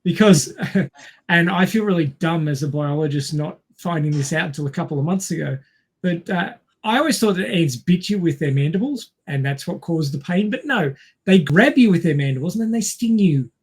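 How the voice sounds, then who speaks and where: A man's voice: fairly high pitch, expressive, normal speed, breathy edge. A man on a podcast panel chatting casually, with a wry, joking manner. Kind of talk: casual chat.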